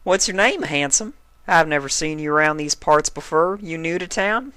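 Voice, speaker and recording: Performer doing a folksy female farm pony, raised pitch. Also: normal speed, sharp tone, smooth, no echo, natural voice. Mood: flirty, teasing. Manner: folksy country drawl wording, forthright. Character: plain-spoken, teasing female cartoon farm pony.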